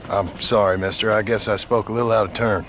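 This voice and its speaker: An actor playing a gruff, sardonic former outlaw in a frontier video game, speaking in a terse, dry, blunt way. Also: male voice, fairly low pitch, fairly even intonation, quick pace, fairly bright tone, slight background noise, lightly acted. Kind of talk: dramatic video game acting.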